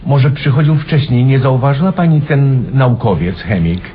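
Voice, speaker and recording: A man's voice: mid-range voice, lively intonation, normal speed, lightly acted. A man acting in a vintage radio play as a curious, polite listener in a cafe, hesitant and understated in his reactions. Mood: polite, casual. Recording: dull old radio recording with music.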